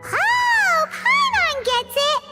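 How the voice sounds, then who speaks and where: A tiny childlike fairy sidekick from a video game, nosy and excitable. The voice is piercingly bright and unhurried, with extremely animated swoops, over faint music. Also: female voice, very high-pitched, heavily acted. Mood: excited. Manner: refers to self in third person, exclaiming.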